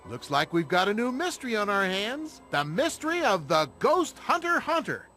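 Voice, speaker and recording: A wholesome young cartoon leader, earnest and upbeat. He pitches very high and moves animatedly, with music behind. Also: sing-song, moderate pace, bright, forceful tone, heavily acted. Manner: earnest, peppy. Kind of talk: cartoon game voice acting.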